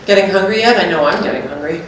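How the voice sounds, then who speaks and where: A woman's voice: fairly low pitch, expressive, quick pace, close to an everyday voice. Woman reading as a chatty companion in an echoey room. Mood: fussing, attentive. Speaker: performer reading a script. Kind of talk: seated staged reading of a dialogue script. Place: small hard-walled gallery room, indoors. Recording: very echoey room, distant, faint noise.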